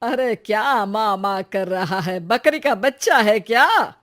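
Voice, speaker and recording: A woman voicing a sharp-tongued, teasing Indian mother-in-law in an animated cartoon. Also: medium pitch, slow.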